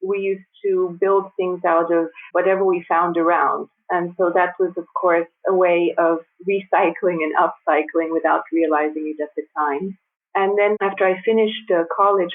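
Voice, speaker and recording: A woman in her fifties who grew up in the United States, speaking as a guest in a conversational interview, measured and thoughtful with light laughs. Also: on the low side. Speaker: guest designer and architect. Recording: tinny phone-like remote line.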